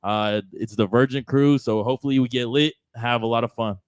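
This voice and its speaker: A man's voice: medium pitch, fairly even intonation, fairly quick, dry recording, clean studio sound. A man hosting a live podcast, hyping the crowd with crude, teasing banter. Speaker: podcast host. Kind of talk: live show intro and casual chat.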